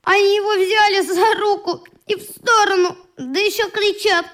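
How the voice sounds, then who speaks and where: A woman's voice: very high voice, fairly even intonation, moderate pace, a bit bright. A woman playing an earnest, indignant little boy in a radio play, reporting in an artless, aggrieved way. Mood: aggrieved, eager.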